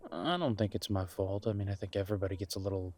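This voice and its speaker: A man acting in an intimate audio roleplay as a nervous, reassuring grown son, speaking haltingly and affectionately to his partner. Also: low-pitched. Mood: reflective, uneasy. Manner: hesitant, halting, sighing delivery with soft chuckles.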